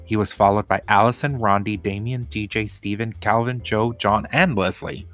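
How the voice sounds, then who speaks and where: A man's voice: on the low side, fairly even intonation, moderate pace, fairly bright tone, faint music behind. A man reading a children's book chapter aloud as scripted storybook narration.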